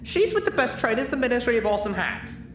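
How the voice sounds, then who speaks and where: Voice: medium pitch, sing-song, fast talker, echoey room, background noise, voice pushed up, close to an everyday voice. A storyteller voicing a cocky military pegasus mare with a confident, clipped delivery.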